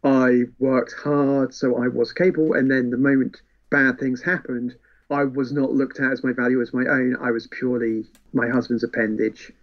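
A man's voice: mid-range voice, level tone, normal speed. Dull-sounding man chatting about books. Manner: acts out characters' lines while explaining. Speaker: podcast co-host. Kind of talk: casual book chat, retelling plots.

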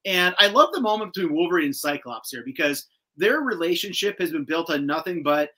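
A man hosting a casual video-call chat, recapping a cartoon episode in an animated, slangy, sometimes profane way. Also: on the high side, brisk. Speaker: host. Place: indoor room with shelves of collectibles, on a video call.

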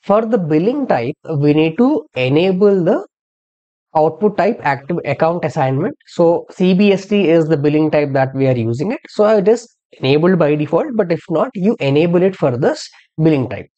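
A software instructor narrating a step-by-step tutorial in an explanatory way, posing questions and then answering them. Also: medium pitch, animated, normal speed, dull audio. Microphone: screen-recording voice-over.

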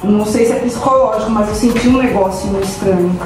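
A woman's voice: mid-range voice, brisk. A Brazilian woman chatting casually and dramatically to camera and a friend in an echoey kitchen, heard from a distance. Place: home kitchen, indoors. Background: music.

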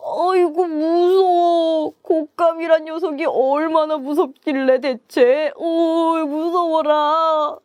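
A woman's voice: very high voice, little pitch movement, slow pace, hardly any pauses, darkish tone, dry recording, studio quality. A woman voicing a foolish, easily frightened tiger in a children's story, whimpering and exclaiming in bewilderment. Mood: terrified. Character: foolish cowardly male tiger, easily terrified.

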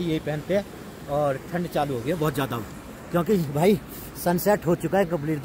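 A man narrates a casual camping vlog in a fairly high voice. He talks informally, as if to friends. Clear river noise runs behind him in the open air. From India. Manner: chatty, informal, addresses viewers as friends. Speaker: vlog host. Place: outdoors at a riverside campsite. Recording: noisy outdoor recording, rushing river. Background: loud ambient river noise.

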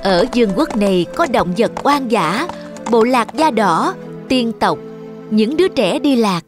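High-voiced woman telling a fairy tale. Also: expressive, unhurried. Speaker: narrator. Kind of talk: scripted fairy tale narration for children. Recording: music under the voice. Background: music.

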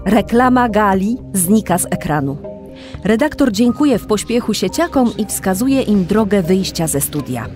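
A woman's voice: medium pitch, expressive, normal speed, over music, lightly acted. A woman narrating a children's radio play in a scripted storytelling style. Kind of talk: scripted audio drama narration.

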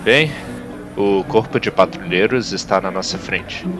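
A man's voice: low-pitched, unhurried, music in the background. A man with a Brazilian accent voicing a cocky, teasing street fixer in an audio drama. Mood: wry. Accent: Brazilian Portuguese. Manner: wry and teasing.

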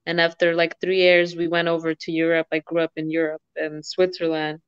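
A woman's voice: sits low, monotone, fairly quick, clean tone, no room sound. Chatty woman from Sudan on a video call. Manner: chatty, laughs easily. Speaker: guest business owner. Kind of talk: casual video call interview. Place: home room, indoors. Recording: dull lo-fi video call audio.

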